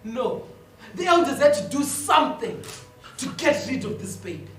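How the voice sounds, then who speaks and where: Echoey, high-voiced woman storyteller on stage. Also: sing-song, distant mic, slight background noise. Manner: dramatic, deliberate, with repetition and long pauses. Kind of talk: theatrical scripted narration of a fable. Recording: echoey stage recording from afar, music behind.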